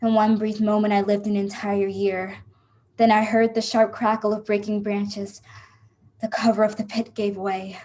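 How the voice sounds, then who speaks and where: An actress playing a hunted adventurer in a radio drama, telling of the escape with suspense and defiance. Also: female voice, mid-range voice, level tone, normal speed, mellow, natural voice. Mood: tense, desperate. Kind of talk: first-person radio drama narration.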